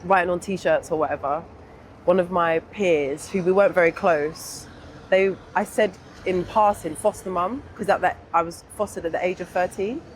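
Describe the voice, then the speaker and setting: A young adult woman with a southern English accent speaks candidly and informally in an interview, pausing to think as she reflects. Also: on the low side, slight background noise. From England. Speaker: interview guest.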